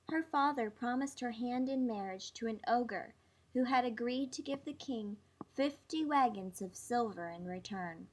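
A woman's voice: fairly high pitch, darkish tone, low-quality recording. A woman reading a children's fairy tale aloud as a storybook narrator. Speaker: storybook reader.

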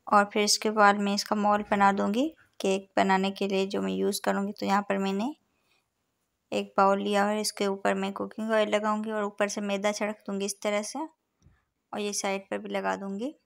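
Calm gravelly woman hosting a cooking channel. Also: mid-range voice, moderate pace. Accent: Urdu-influenced Hindustani. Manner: calm, instructive, counts out measures. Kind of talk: step-by-step recipe voice-over. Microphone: voice-over over overhead cooking shots.

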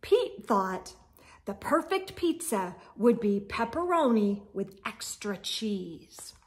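A middle-aged woman reading a children's storybook aloud with expressive, exaggerated emphasis and sing-song refrains. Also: mid-range voice, takes it slowly. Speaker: storytime read-aloud host. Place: indoor room with bookshelves.

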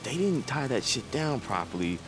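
An actor playing a chatty, evasive camp mechanic in a video game, rambling in a folksy way. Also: male voice, mid-range voice, sing-song, moderate pace, music in the background, close to an everyday voice. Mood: nervous, backpedalling. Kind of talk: naturalistic cinematic game acting.

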